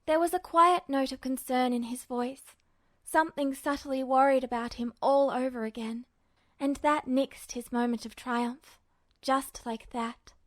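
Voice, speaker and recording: A woman's voice: sits high, fairly even intonation, unhurried, no echo. A woman reading scripted fiction aloud as the narrator of a story. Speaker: podfic narrator.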